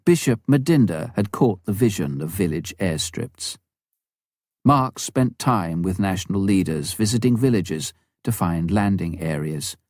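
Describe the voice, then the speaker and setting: Man, audiobook narrator, scripted memoir reading, soft dark tone, dry recording. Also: low voice, lively intonation, unhurried, no echo.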